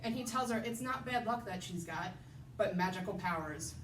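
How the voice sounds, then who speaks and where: Casting: female librarian presenting prepared book picks, recorded off-mic with clear background noise. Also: medium pitch, moderate pace. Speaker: children's librarian. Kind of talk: scripted book recommendation. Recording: roomy, distant mic, noisy background.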